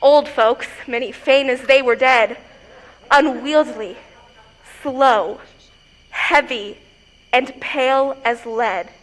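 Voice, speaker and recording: A woman's voice: very high-pitched, slow, a bit bright, exaggerated voice, poor audio. A woman acting an impatient, lovestruck teenage girl in Shakespearean verse, with theatrical, emphatic delivery. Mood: scornful.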